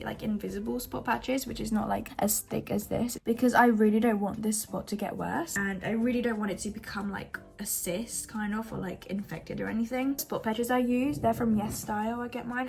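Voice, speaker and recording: A teenage girl from Brazil with a croaky, hoarse voice from a cold, chatting casually through a get-ready-with-me vlog filmed on her phone at home. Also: on the high side. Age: teens. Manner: chatty, rambling with frequent "like". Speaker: vlogger. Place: home bedroom.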